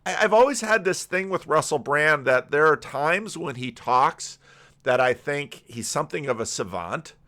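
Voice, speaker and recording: A man giving casual, unscripted commentary in a rambling, halting way, often restarting his sentences. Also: a little high, expressive, pushed and bright. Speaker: YouTube commentator and pastor.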